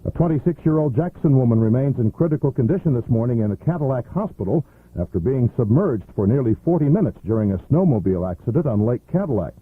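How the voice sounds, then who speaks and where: A man's voice: mid-range voice, slightly rough, dry recording. An American man working as a radio news anchor, reading scripted bulletins on a muffled 1980s radio recording.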